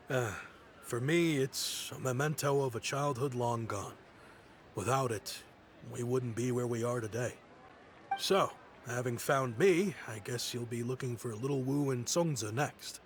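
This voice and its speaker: A man's voice: medium pitch, measured pace, a little hiss or hum, natural voice. A man playing a reflective kindly merchant. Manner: friendly, reflective, softly rueful. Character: nostalgic grown-up male merchant, proud but kindly. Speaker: businessman. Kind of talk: video game voice acting. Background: game music.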